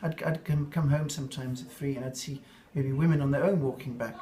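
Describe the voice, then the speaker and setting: A man's voice: medium pitch, fairly even intonation, fairly quick, warm tone. An older man with an English accent, answering interview questions in a reflective, rambling way with fillers and restarts. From England.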